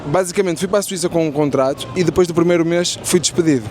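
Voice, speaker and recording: A young man with a European Portuguese accent runs a casual street interview, friendly and talkative, with restarts and fillers. His voice sits a little high for a man. Faint city traffic hums behind him outdoors. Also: fast, a little mellow. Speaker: street interviewer and content creator.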